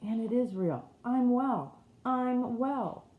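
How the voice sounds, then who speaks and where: A woman acts out an earnest young boy in a storybook, excitable and full of longing. The voice lifts higher and rings in a roomy space. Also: slow pace. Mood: elated. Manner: earnest, emphatic, repeating himself. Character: excited, wistful young boy recovering his health. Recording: roomy echo with faint background noise.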